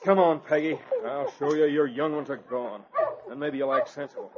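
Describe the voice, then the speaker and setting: Rough, whining outlaw sidekick, male actor, excitable radio villain, high voice, dull and very noisy vintage sound. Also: normal speed, lightly acted. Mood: irritated. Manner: exclamatory, rough. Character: hot-tempered, whining male outlaw henchman. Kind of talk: 1940s-50s radio villain acting.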